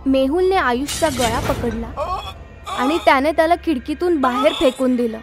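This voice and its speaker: A woman's voice: high voice, normal speed, music in the background. A woman narrating a scripted horror story.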